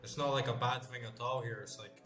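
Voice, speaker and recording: A man in his late thirties talks loosely while he games, his speech full of fillers and the odd swear word. His voice sits at an ordinary middle pitch. The room sounds open and echoey, and clear music plays behind him. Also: fairly even intonation, a little fast, a bit bright. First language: English. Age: late 30s. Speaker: gamer playing on stream. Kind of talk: casual chat while gaming.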